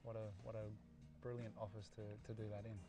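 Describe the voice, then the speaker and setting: A man's voice: fairly low pitch, flat delivery, quick pace, over music. An Australian man interviewing casually, with loose phrasing and plenty of "you know". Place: outdoors by a lake.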